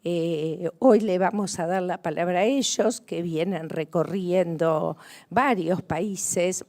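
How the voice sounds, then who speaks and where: Measured woman moderating a press conference. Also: on the low side, a little mellow. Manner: measured, with frequent hesitations. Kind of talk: formal introductions at a press conference.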